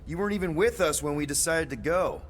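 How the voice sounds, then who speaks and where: An actor playing a brash, wry, earnest young space crew leader in a video game cutscene. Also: male voice, fairly high pitch, fast, slight background noise, close to an everyday voice. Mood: puzzled.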